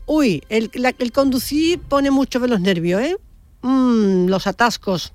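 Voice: medium pitch, a little slow, no echo. A man hosting a live radio talk show, chatting casually and reacting briefly to others. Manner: chatty, interjects with short reactions. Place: radio studio.

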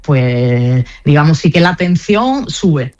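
A woman's voice: low-pitched, lively intonation, slow, darkish tone. Chatty woman on a muffled line. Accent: Andalusian Spanish mixed with Italian. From Spain. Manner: chatty, many fillers and restarts. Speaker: press officer of a racing team. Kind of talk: phone-in radio interview.

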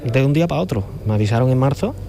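Middle-aged guy from cádiz, warm dark voice, rambles on easy, circles back a lot. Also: mid-range voice, animated, very fast, creaky, faint music behind. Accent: Cádiz Andalusian Spanish. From Cádiz, Spain. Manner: rambling, loose talker who often circles back. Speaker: painter, interview guest. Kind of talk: relaxed conversational interview.

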